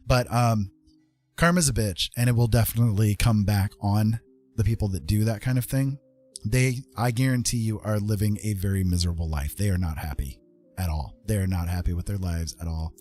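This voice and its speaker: A man's voice: lowish voice, fairly even intonation, fairly quick, darkish tone, soft background music. A man hosting a livestream, talking in a casual, unscripted, rambling and conversational way. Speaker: live streamer hosting a chat stream.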